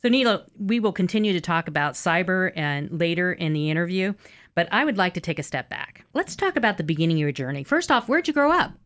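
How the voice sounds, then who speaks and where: A woman's voice: fairly low pitch, lively intonation, fast talker, a bit bright. A woman interviewer introducing the guest and asking about her early life.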